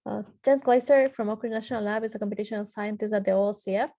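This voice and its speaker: A woman's voice: medium pitch, steady tone, racing, dark tone. A woman from Ecuador moderating a technical panel, reading out introductions with frequent filler sounds and restarts.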